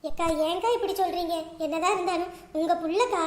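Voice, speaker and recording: An extremely high-voiced village neighbour acted by a woman, anxious and sympathetic. She pleads and consoles urgently in family-drama style, in an echoey room. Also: fairly even intonation, quick pace. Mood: pleading.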